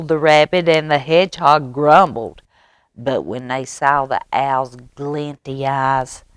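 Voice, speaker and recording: Low-voiced woman reading slowly to children. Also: no room sound, studio quality. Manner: expressive, deliberate, addresses children with questions. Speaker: library storytime reader. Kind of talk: children's picture-book read-aloud with asides to young listeners.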